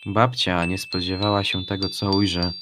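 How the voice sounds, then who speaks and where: Low-voiced male storyteller over music. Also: flat delivery, moderate pace. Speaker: narrator. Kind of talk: scripted story narration.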